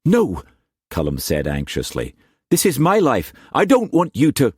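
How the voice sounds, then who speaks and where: A man's voice: mid-range voice, huge pitch swings, normal speed, husky, no echo, clean studio sound, voice pushed up. A man voicing an earnest, anxious young man in love.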